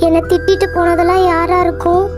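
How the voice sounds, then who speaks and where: A woman's voice: very high-pitched, little pitch movement, fast. A woman voicing an anxious, apologetic young wife in a cartoon story who speaks in a pleading way, with music playing behind. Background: background music.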